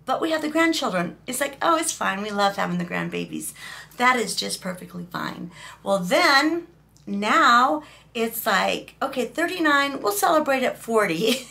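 A woman in her fifties, hoarse from a recent cough, chatting emotionally to camera in a small room, rambling with fillers. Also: a little high, sing-song, normal speed, pushed and bright. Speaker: vlogger. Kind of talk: casual talking-head vlog.

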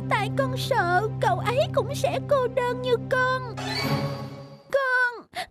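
A woman's voice: extremely high pitch, slow pace. A woman voicing a sad, lonely, tender little girl in a children's cartoon. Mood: sad, worried. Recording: loud music behind the voice.

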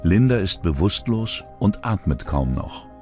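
A man's voice: low voice, sing-song, measured pace, music in the background. A man narrating a scripted true-crime documentary in a dramatic, suspenseful way.

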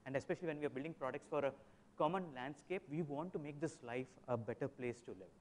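Fast-talking man on a conference panel. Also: mid-range voice, slight background noise. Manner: fast, emphatic, storytelling with examples. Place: large hotel conference hall.

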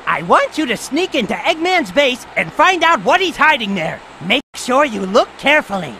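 A brash young hawk who leads a gang in a bright, sharp, high voice that jumps around as he barks orders. He is bossy and sure of himself. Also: big pitch swings, moderate pace, gravelly, a little hiss or hum, heavily acted. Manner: bossy, commanding. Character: cocky young male hawk gang leader. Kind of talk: video game cutscene acting.

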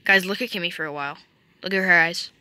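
A woman's voice: lowish voice, fast, pushed and bright, no echo. A young woman hosting a casual gaming chat at home, rambling and joking, recorded on a phone. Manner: jokey, rambling, self-correcting with "just kidding". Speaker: channel host. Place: home bedroom.

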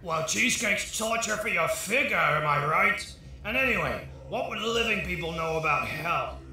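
An actor playing a gruff, wisecracking, drunken God in a comic drama, folksy and blunt, recorded in an echoey room. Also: male voice, sits high, lively intonation, moderate pace, pushed and bright, soft background music, heavily acted. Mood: gruff, jovial. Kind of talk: stage-style comic drama dialogue. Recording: very echoey room, faint noise.

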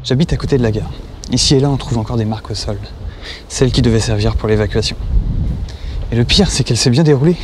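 An actor playing a wary lone disaster survivor who talks to himself in short phrases, in an audio drama. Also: male voice, mid-range voice, fast, very noisy, natural voice. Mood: wary, focused. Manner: muttered self-talk in short phrases. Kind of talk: French audio drama monologue. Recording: loud music behind the voice. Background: loud instrumental music.

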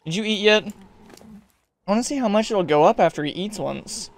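A young man giving casual, chatty gameplay commentary, excitable and yelling at creatures, a bit hoarse as he is losing his voice. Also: sits high, normal speed. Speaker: gaming YouTuber.

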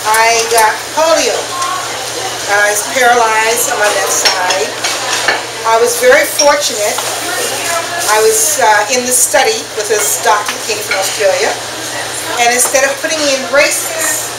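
An older woman giving an informal personal talk to a small group in a restaurant, speaking deliberately with pauses. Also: a little high. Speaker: guest speaker at a business networking meetup. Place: restaurant dining room. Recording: echoey, noisy room, distant mic, loud background hum.

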